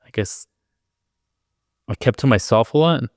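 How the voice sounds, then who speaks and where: A man's voice: medium pitch, animated, moderate pace, no room sound, studio quality. A man in his thirties from the Arizona–Mexico border, a music producer telling stories from his childhood in an easygoing, reflective way with plenty of fillers. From Arizona-Mexico border, Sonoran Desert. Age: 30s. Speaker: podcast guest, music producer and DJ. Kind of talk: casual interview chat.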